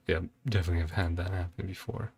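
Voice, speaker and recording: A man giving casual reaction commentary, thinking aloud in short, hesitant fragments over music. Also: deep voice. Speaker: host of a reaction and ranking video. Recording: music playing behind the voice. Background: game trailer music.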